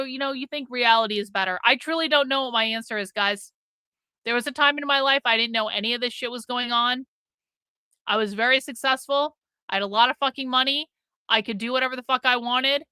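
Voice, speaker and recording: Bright, high-voiced woman, blunt livestream host. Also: clean tone, no echo, rough recording. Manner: blunt, sweary; repeats phrases for emphasis. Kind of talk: casual rambling livestream talk to chat.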